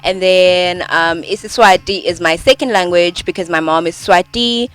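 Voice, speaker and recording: Chatty South African woman, low voice. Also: moderate pace, smooth. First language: isiZulu. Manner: chatty, lots of "like" fillers, laughs often. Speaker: co-host teaching Zulu. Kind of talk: casual chat. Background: hip hop music.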